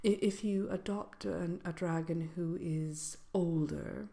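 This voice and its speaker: A woman playing a genteel sorceress presenting a seminar in a soft-spoken ASMR roleplay, speaking in a hesitant, gently formal, measured way. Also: on the low side, steady tone, vocal fry. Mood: calm, instructive. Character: genteel female fairytale sorceress lecturing on magical companion animals.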